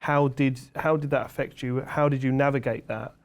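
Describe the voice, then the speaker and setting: A man's voice: medium pitch, fairly even intonation, moderate pace. A young man co-hosting a podcast, reflective and asking probing questions in casual chat. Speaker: podcast co-host.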